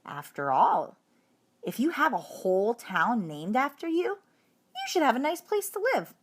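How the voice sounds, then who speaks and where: A woman reading a children's story aloud in an expressive, emphatic narrating style. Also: a little high, measured pace. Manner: animated, emphatic delivery. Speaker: audiobook reader.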